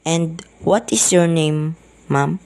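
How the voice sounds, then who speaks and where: A woman's voice: sits low, takes it slowly, darkish tone, clean tone. A woman playing a stolid, laconic police desk officer in an amateur radio play, politely official. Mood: routine questioning.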